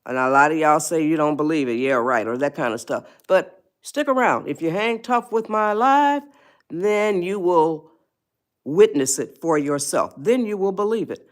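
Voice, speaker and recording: A woman of eighty-one, chatting slowly to viewers on her live stream, often losing her place and laughing. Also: deep voice, lively intonation. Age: 80s.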